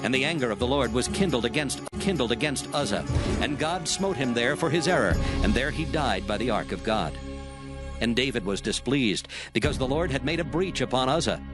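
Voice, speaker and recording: A man narrating dramatized scripture in a measured, formal way. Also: medium pitch, expressive, over music.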